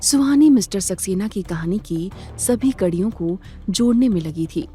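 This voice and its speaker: A woman narrating a scripted audio drama in a measured, dramatic storytelling manner. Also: mid-range voice, expressive, dark tone, faint music behind.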